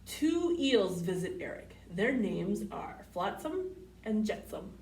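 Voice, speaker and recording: A woman's voice: mid-range voice, sing-song, takes it slowly, a little mellow. A woman hosting a children's storytime, reading picture books aloud expressively and putting simple questions to young listeners. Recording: roomy, distant mic, faint background noise.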